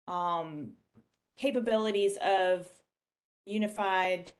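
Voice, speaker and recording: A woman presents a software demo with a medium-pitched voice. Her delivery is conversational and explanatory, like someone casually guiding viewers through a shared screen.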